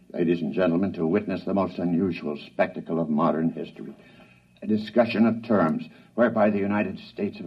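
An actor playing a grave radio announcer, delivering formal, dramatic bulletins in an old-time radio drama. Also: male voice, sits low. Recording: dull, rough vintage radio recording.

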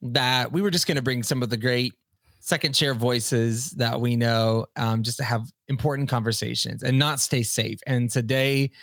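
A man's voice: medium pitch, moderate pace, dry recording. Upbeat male podcast host, dry sound. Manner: energetic, enthusiastic. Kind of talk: casual podcast interview.

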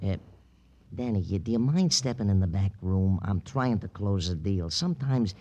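An American actor playing a nervous, stammering, tight-fisted pawnshop owner with an oily, wheedling manner in a 1950s radio drama. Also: male voice, low-pitched, expressive, normal speed, darkish tone, lo-fi sound. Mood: flustered, ingratiating.

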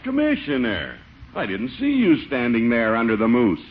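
An actor playing a jovial, folksy small-town police chief in an old-time radio comedy. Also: male voice, medium pitch, wildly swooping pitch, normal speed. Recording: muffled, noisy vintage radio recording.

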